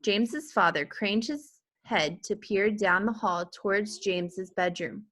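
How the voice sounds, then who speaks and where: A woman reading a children's story aloud with expressive narration. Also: medium pitch, measured pace. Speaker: teacher or reader reading a children's book aloud.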